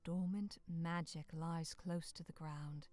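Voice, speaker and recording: Solemn, lower-pitched woman narrating a story. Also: fairly even intonation, slow, darkish tone, soft background music. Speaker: narrator of a visual novel. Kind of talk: scripted second-person dramatic story narration.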